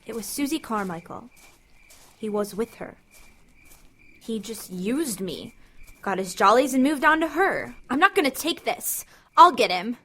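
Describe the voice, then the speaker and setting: Teenage girl, fairly high voice, bullying, hot-tempered, demanding, audio drama. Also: expressive, unhurried, fairly bright tone, a little hiss or hum. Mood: vengeful. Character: angry, hurt, domineering teenage Italian-American girl.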